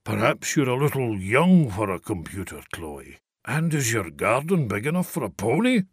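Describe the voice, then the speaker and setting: Brief: man voicing a cheery Scots Santa, rumbling and kind, husky, lively. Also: normal speed, dry recording, studio quality. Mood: kindly. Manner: deep, rumbling Santa voice, kindly. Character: jovial middle-aged man playing Santa.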